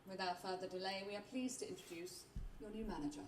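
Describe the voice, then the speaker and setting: A woman's voice: mid-range voice, steady tone, fast, lightly acted. A woman playing a formal announcer in a video game, giving a scripted welcome in distant, echoey, noisy audio. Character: formal female announcer introducing a new manager.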